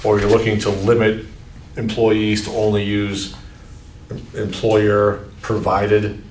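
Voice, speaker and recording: A man's voice: lowish voice, monotone, takes it slowly, a little mellow, a little hiss or hum. A man, the superintendent, thinking aloud at a school board meeting, hesitant and full of restarts. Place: meeting room. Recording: echoey room, distant mic.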